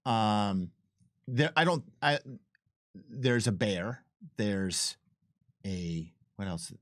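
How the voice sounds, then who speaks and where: A man's voice: on the low side, drawn-out words, a bit bright, no room sound, studio quality. A man talking casually as a game developer and co-commentator, repeating words while he thinks aloud.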